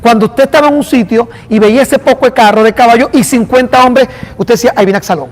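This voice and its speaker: A man with a Venezuelan accent, a pastor preaching an animated sermon and acting out dialogue. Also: extremely high pitch, fast talker. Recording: long hall reverb.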